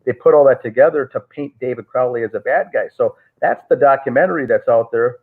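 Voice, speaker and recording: A man's voice: medium pitch, brisk, slightly rough. Man telling a story over tinny call audio. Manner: rambling, frequent "uh" fillers and restarts. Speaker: interview guest.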